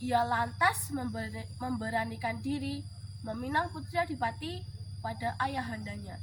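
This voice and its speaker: Storyteller: woman with a high-pitched voice, narrating a legend expressively and deliberately, noisy recording. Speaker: storyteller in a school storytelling contest. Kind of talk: expressive scripted folk-tale narration. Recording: clearly noisy background.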